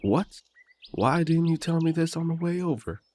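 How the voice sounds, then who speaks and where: A man's voice: on the high side, expressive, normal speed, darkish tone, dry recording. A man playing a cheery, blunt country werewolf big brother in an immersive audio drama, with a folksy, teasing, hearty way of talking. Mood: excited.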